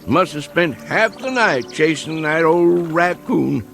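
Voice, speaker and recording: Folksy old backwoods hunter. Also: male voice, on the high side, animated, slow pace, gravelly, a bit bright, soft background music, full character voice. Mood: relieved wonder. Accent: rural American. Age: older. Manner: rambling, folksy, talks to his dog.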